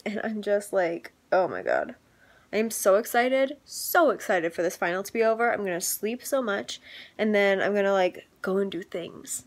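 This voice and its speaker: A young woman in her twenties talking casually to a handheld camera, chatty and swearing freely, swinging between tired and excited. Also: mid-range voice. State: congested. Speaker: vlogger. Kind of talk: casual vlog chat. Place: home living room, then car and mall. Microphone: handheld camera at arm's length.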